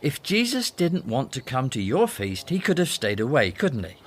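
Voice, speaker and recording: A sardonic money-minded disciple. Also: male voice, medium pitch, huge pitch swings, normal speed, slightly rough. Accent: Northern Irish. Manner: teasing, sardonic. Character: Judas, scheming money-minded male disciple. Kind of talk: radio drama acting.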